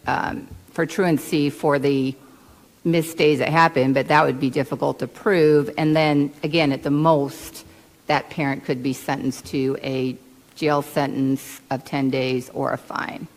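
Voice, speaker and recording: A woman with a notably low voice speaks in a large hearing room with a long reverb. She talks precisely and legalistically, pressing on points of law with pointed questions. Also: level tone, a little slow. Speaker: hearing participant discussing law. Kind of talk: formal hearing discussion.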